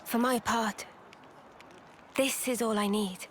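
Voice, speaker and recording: A woman's voice: on the high side, steady tone, slow pace, slight background noise. A woman playing a composed, devoted young warrior, earnest and resolute, in a dramatic video game cutscene. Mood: quiet resolve.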